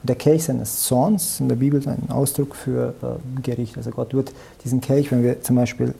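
A man's voice: medium pitch, flat delivery, moderate pace, creaky, dark tone. A man discussing the Bible casually, in a colloquial and emphatic way, often adding small tags for confirmation. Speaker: religious discussion partner.

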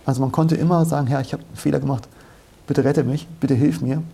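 Gravelly, husky man explaining scripture. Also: medium pitch, fairly even intonation, quick pace, warm tone. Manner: explanatory, didactic, asks and answers own questions. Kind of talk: conversational Bible exposition.

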